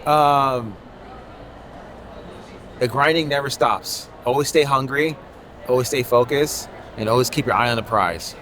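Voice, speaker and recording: A man's voice: medium pitch, a little slow, pauses often, pushed and bright. A man from Haiti, an artist, talking loosely in an interview at an outdoor art fair. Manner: loose and rambling, leaning on filler phrases. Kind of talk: casual interview.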